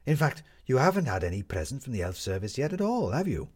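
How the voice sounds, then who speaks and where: A man's voice: mid-range voice, very animated, fast talker, darkish tone, slightly rough, voice pushed up. A man voicing a commanding, wry woman reporter in a story, articulate and sardonic as she lectures. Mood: cutting.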